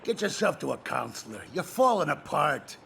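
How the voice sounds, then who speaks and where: Stern police captain for a crime game: exasperated, gravelly, lively swings, commanding. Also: male voice, on the high side, normal speed, a little hiss or hum, full character voice. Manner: blunt, scolding, commanding. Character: gruff, exasperated male police boss, stern but caring.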